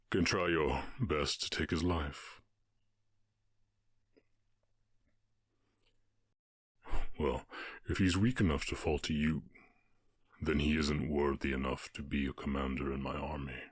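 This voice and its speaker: A man voicing a calm, commanding orc warchief in an ASMR roleplay, with a husky voice and a measured, condescending, teasing manner. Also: dry recording, studio quality. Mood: calm, stern. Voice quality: very deep, husky.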